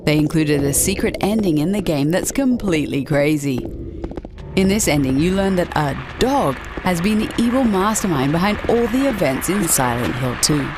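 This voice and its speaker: A woman's voice: lowish voice, animated, normal speed, noisy background. A woman reading scripted narration for a video list show about games.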